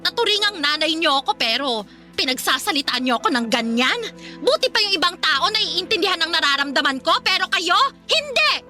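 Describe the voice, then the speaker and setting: A woman's voice: very high voice, sharp tone, full character voice. A woman acting a defensive, resentful middle-aged Filipino mother in a radio drama, nagging and indignant.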